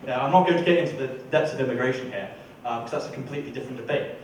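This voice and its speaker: A man's voice: mid-range voice, very fast, darkish tone. Emphatic man in an echoey hall. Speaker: council candidate. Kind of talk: debate speech. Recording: echoey hall, far from mic, faint noise.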